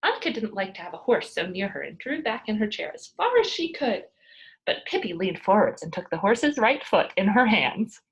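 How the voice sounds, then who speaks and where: A woman reading a children's story aloud like a teacher, expressive and dramatic. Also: medium pitch, normal speed, lo-fi sound.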